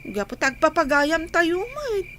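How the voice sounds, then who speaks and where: A woman's voice: very high voice, big pitch swings, a little slow, fairly bright tone, a little hiss or hum, heavily acted. A woman with an Ilocano Filipino accent playing an earnest young woman in love in a radio drama. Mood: mildly reproachful.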